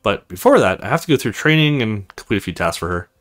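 Man reading as an awkward young recruit, animated. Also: lowish voice, quick pace. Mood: earnest.

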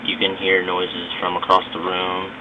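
A young man giving a casual, halting tutorial to camera as an app reviewer. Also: sits low, completely flat delivery. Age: young adult. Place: indoors, dim cluttered room at home. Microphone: laptop mic, later phone headset mic. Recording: muffled, noisy webcam-style recording, distant mic.

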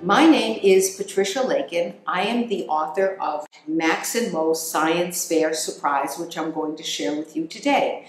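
An older woman, around her seventies, a children's author reading aloud with deliberate, expressive storybook phrasing. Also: fairly low pitch. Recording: echoey room, music underneath.

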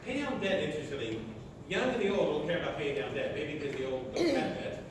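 In a big, very echoey lecture hall with clear background noise, an American man of sixty addresses an audience. His voice is on the high side for a man. He gives a motivational talk in short, emphatic phrases. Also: very animated, fast. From Oklahoma, United States. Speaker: speaker at a sustainability meeting. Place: large lecture hall, indoors. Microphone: distant camera at the back of the room. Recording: echoey hall from far off, noisy.

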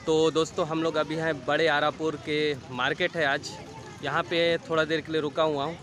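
A man's voice: on the high side, little pitch movement, normal speed. A young man chatting casually to his phone camera at an open-air village market, asking sellers their prices. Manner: chatty, asks vendors prices. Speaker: vlogger. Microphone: phone held at arm's length.

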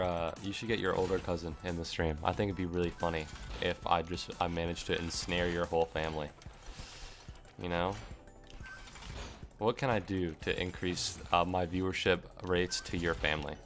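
A young man streaming a game from home, his voice hoarse and gravelly from screaming, rambling and swearing as he plays. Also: deep voice, fairly even intonation, normal speed, fairly bright tone, soft background music. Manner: rambling, swears when frustrated. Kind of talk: live gameplay stream chat. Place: home room.

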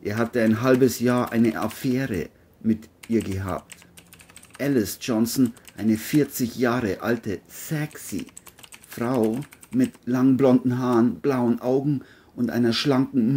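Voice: medium pitch, fairly even intonation, slow pace, mellow, a little hiss or hum. A narrator reading a scripted crime audio drama, telling the story between the characters' lines.

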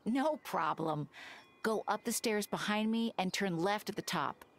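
A woman's voice: medium pitch, measured pace, natural voice. An actor playing a helpful, welcoming townswoman in a cozy video game, reassuring and friendly. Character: warm, helpful townswoman greeting an old acquaintance.

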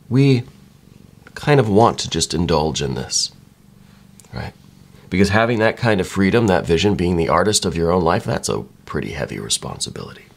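Low voiced guy reading tarot cards, slightly croaky, keeps asking "right?". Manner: musing, repeats "right?" often. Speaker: tarot reader. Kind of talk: soft-spoken tarot reading.

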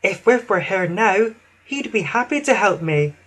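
Man doing a high, earnest lady steam engine. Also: animated, unhurried, a bit bright, husky, full character voice, poor audio. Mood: regretful. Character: proud, fretful young female steam engine. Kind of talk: children's storybook character voice.

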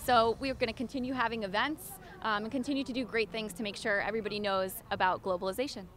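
Quick enthusiastic woman staffer. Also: fairly high pitch, fairly even intonation, a bit bright. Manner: enthusiastic, promotional. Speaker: international marketing staff. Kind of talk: interview answers. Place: outdoor campus.

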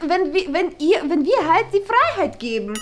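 A woman's voice: very high voice, big pitch swings, moderate pace, a little hiss or hum. A woman playing a scatterbrained, easygoing new headmistress in a comedy sketch, rambling and repeating herself. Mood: breezy, defensive. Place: small bright meeting room.

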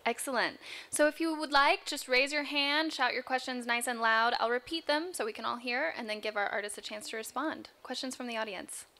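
Bright, high-voiced woman moderating a Q&A. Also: brisk, few pauses. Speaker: question and answer moderator. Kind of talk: hosting an audience Q&A. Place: outdoor stage before an audience.

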